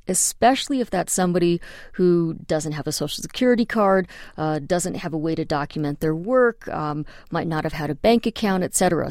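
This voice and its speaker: Low-voiced woman lawyer explaining. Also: darkish tone, no echo, studio quality. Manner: explanatory, practical, speaks in examples. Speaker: immigration attorney. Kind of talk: interview answers.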